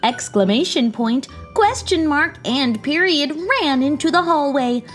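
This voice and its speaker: A woman reads a picture book aloud for children in a high voice. Her pace is slow and deliberate, and her pitch moves theatrically. Faint music plays behind her. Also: animated. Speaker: children's read-aloud host. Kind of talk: expressive storybook narration. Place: small indoor room.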